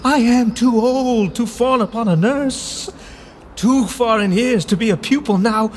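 A man's voice: very high-pitched, unhurried. An actor playing a proud, ageing English nobleman with a grievance, speaking Shakespearean verse with impassioned declamation. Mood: anguished. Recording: faint background noise.

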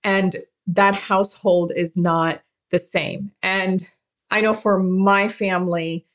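A woman with a fairly low voice answers as an interview guest. She is originally from Pakistan. Her delivery is earnest and emphatic, and she repeats key words to press a point. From born in Karachi, Pakistan. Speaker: interview guest, forced-marriage survivor and advocate.

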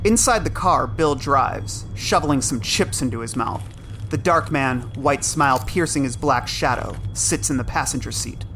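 A man's voice: medium pitch, fairly bright tone. Man narrating atmospherically over music. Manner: measured, atmospheric storytelling. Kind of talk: scripted narration of a screenplay.

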